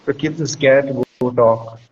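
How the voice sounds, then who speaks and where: Dull noisy recording, higher-voiced man counselling. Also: steady tone, normal speed. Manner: reflective, explanatory, checks with "right?". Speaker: guest speaker, school counselor. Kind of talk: conversational advice in an interview. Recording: dull lo-fi audio with clear background noise.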